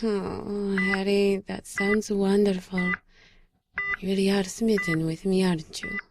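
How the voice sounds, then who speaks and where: A resolute mystic woman voiced by an actress speaks in gentle, deliberate and formal phrases. She is solemn, in an audio drama scene with clear noise behind her. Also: medium pitch, level tone, close to an everyday voice. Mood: tender, resolute. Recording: clearly noisy background.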